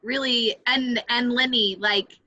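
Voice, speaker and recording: A woman's voice: on the high side, fairly even intonation, slow pace, bright, forceful tone, lo-fi sound, a little hiss or hum. A woman casually chatting and giving instructions as co-host of a virtual group event.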